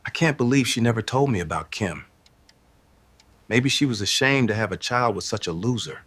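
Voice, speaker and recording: A man's voice: medium pitch, animated, moderate pace, fairly bright tone, breathy edge. A man playing a remorseful middle-aged father and reformed villain in a motion comic. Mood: heartbroken regret.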